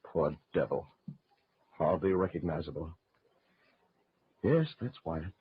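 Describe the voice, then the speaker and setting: A man playing a troubled, halting gentleman in an old-time radio horror play. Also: deep voice, expressive, slightly rough, dry recording, full character voice. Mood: uneasy, somber. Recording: dull, noisy old radio recording.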